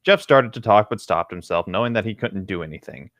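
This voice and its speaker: A man's voice: lowish voice, animated, brisk, a bit bright, no echo, highs cut off. A young man reading a story aloud and chatting casually as a co-host. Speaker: co-host of a comedic creepypasta reading.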